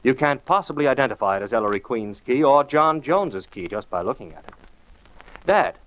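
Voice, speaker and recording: A man's voice: medium pitch, lively intonation, fairly quick, natural voice. Muffled 1940s radio detective, a man acting. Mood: probing. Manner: emphatic, rhetorical questioning. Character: shrewd male detective, lecturing and scornful. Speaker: detective lead and show host.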